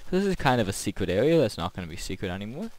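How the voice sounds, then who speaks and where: A man's voice: lowish voice, very animated, rapid-fire, a little mellow. A man casually talking through his builds and play as a gaming video host, in a rambling commentary.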